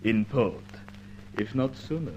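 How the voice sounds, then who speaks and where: A man's voice: on the low side, animated, warm tone, highs cut off, a little hiss or hum. A man playing a coolly polite, menacing crook with stilted, formal phrasing in an old-time radio detective drama. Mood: suspicious. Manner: stilted, formal, measured threats.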